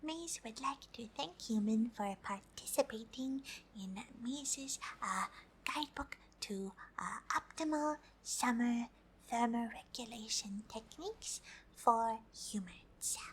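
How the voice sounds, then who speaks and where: A woman's voice: fairly high pitch, animated, slow, slight background noise. A woman voicing a friendly, polite alien who is curious about humans, speaking hesitantly in an ASMR roleplay. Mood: soft, pleased. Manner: hesitant, speaks of self in third person.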